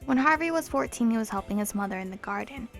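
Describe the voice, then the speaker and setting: A woman's voice: fairly high pitch, quick pace, vocal fry, soft background music. Young woman reading a visual novel aloud. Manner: chatty asides, apologetic, expressive reading. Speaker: let's play host.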